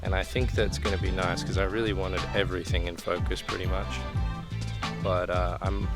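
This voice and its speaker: Low-voiced Australian guy over loud music. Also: flat delivery, normal speed. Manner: chatty, thinking aloud, enthusiastic. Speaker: photography vlogger. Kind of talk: casual vlog narration on a photo walk. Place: outdoors in a park by water.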